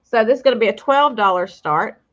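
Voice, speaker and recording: A woman's voice: fairly high pitch, lively intonation, fairly quick, muffled recording. Chatty woman hosting a live sale. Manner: chatty, laughs often. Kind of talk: casual live sales chat.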